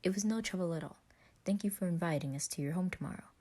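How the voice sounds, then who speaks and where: A woman's voice: lowish voice, quick pace, dark tone, natural voice. A woman voicing a polite man in a story, with formal, precise, rather robotic phrasing.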